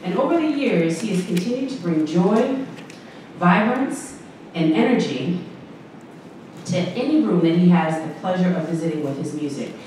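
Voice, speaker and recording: A woman's voice: lowish voice, expressive, moderate pace, mellow. A woman hosting a university celebration, announcing the program in an upbeat way. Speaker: event emcee. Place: university event room. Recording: echoey hall, distant mic, some noise.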